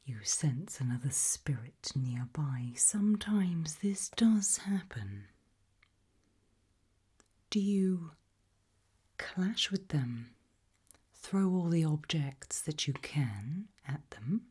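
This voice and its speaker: A British woman whispering an ASMR roleplay in a breathy voice, putting slow, deliberate questions with long pauses. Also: deep voice, big pitch swings, a little mellow.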